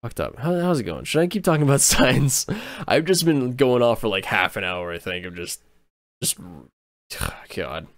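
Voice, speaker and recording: A man's voice: medium pitch, animated, moderate pace, no room sound, clean studio sound. A man with an American accent rambling casually to his viewers while livestreaming a game, often restarting and correcting himself. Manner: rambling, self-interrupting, chatting with viewers. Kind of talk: casual gaming livestream chat.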